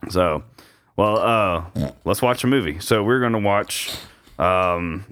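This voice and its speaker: A man's voice: sits low, takes it slowly, fairly bright tone. A man hosting a casual podcast chat, easygoing and rambling with lots of fillers. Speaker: podcast host. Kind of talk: casual chat over beers.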